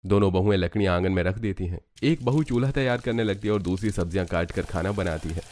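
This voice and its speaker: A man's voice: low-pitched, quick pace, a little mellow, slight background noise. A man reading scripted story narration for a cartoon.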